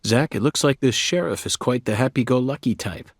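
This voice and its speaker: A man's voice: medium pitch, lively intonation, brisk, breathy edge, no echo, studio quality. A man with an American accent playing an eccentric, self-assured FBI special agent in a video game, speaking formally and theatrically. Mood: composed, self-assured. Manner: formal, theatrical, musing aloud to an unseen companion.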